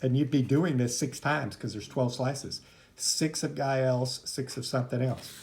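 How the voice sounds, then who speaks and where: A man with a mid-pitched voice gives an informal art lesson as he demonstrates. He is chatty and rambling, slipping easily into side remarks. The home recording has faint noise and clicks behind him. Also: fairly even intonation, a little slow, a little mellow. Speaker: art teacher. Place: at home, indoors.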